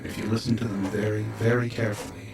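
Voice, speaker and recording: An actor playing an ominous narrator of eerie tales, delivering solemn, measured, dramatic scripted lines. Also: male voice, lowish voice, level tone, recorded from a distance, music in the background, natural voice.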